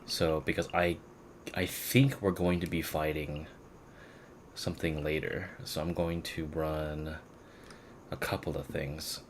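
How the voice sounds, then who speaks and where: A man's voice: a bass voice, steady tone, unhurried, pauses often, a little hiss or hum. A man doing casual gameplay commentary as a streamer, thinking aloud in an unfiltered way. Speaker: streamer playing a video game.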